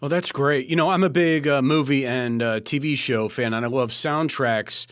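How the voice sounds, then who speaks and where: A man's voice: medium pitch, normal speed, a bit bright, clear, resonant tone, dry recording, studio quality. A man hosting a podcast and asking his guest direct questions. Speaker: podcast host. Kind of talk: interview questions.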